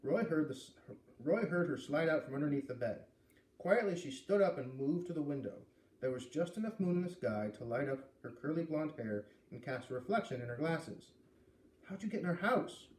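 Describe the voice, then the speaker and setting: A man reads a children's novel aloud with expressive stresses on key phrases. His tone is soft and mellow, recorded a little away from the mic in a roomy space with faint noise. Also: on the high side, normal speed, far from the mic. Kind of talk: read-aloud storytelling with acted dialogue.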